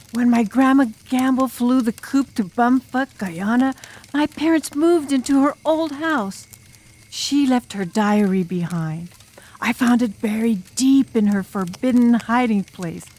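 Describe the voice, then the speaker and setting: Lady doing an ominous intense girl telling a scary story, highish voice, takes it slow, very dramatic. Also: darkish tone. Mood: urgent, ominous. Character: intense, enigmatic girl drum major telling a scary tale. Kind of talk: dramatized audiobook acting.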